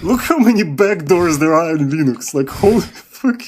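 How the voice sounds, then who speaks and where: A man casually demonstrating something on screen in an excitable, mocking and profane way. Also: on the high side, normal speed, slight background noise. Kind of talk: screen-recorded demo, casual.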